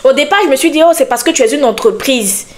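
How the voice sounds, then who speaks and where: A young woman talking casually to her listeners on a podcast, animated and emphatic, speaking straight to them. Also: high voice, quick pace, slight background noise. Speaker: podcast host and content creator.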